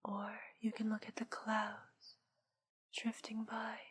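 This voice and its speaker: Woman playing a very slow, whispering witch hypnotist. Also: a little high, monotone, full character voice. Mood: soothing, coaxing. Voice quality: soft, breathy whisper. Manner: slow, soothing, drawn-out delivery. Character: teasing witch hypnotist, soothing, seductive female spellcaster. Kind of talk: whispered ASMR hypnosis roleplay.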